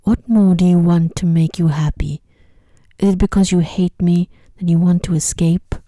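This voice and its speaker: Woman softly reading a sorrowful gentle beast, dark-toned. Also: on the low side, fairly even intonation, moderate pace, no room sound, clean studio sound, natural voice. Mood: miserable. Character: gentle, sorrowful male beast, lovesick and devoted. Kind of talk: ASMR storybook reading.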